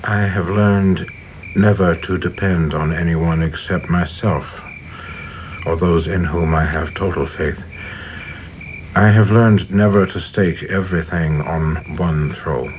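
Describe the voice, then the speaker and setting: A man's voice: low voice, takes it slowly, a little hiss or hum, poor audio. A man playing a reserved, ambitious government official and dutiful son in a radio play, formal and controlled. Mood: weary, resolute. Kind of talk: dramatic radio play acting.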